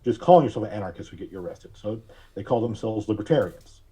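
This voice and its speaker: A man giving measured, carefully worded explanations as a podcast guest. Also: on the low side, animated, mellow, slightly rough, lo-fi sound. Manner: measured, deliberate, precise wording. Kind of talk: explanatory interview talk.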